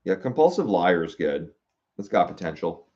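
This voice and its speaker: Young male streamer, low voice, slightly dull lo-fi sound, casual, halting, reading chat and mulling ideas aloud. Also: big pitch swings, dark tone. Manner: musing aloud, reading comments, halting with fillers. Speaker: streamer reading viewer suggestions.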